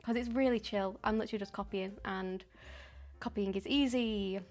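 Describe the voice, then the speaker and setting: A woman's voice: mid-range voice, fairly even intonation, moderate pace, faint music behind. A woman, chatting casually and a bit ramblingly while she paints on a live stream. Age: 20s. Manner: chatty and rambling, with frequent ums and soft laughs.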